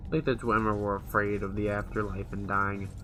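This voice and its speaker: A man's voice: lowish voice, little pitch movement, moderate pace, sharp tone, slight background noise. A man giving casual, offhand, musing commentary while he plays a video game. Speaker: gamer commentating on his play. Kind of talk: casual let's play commentary. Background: game music.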